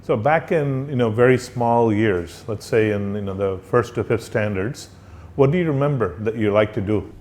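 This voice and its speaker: Polite low-voiced male interviewer. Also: darkish tone, slight background noise. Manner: polite, deliberate, hesitant phrasing. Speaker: interviewer, professor. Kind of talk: interview questions.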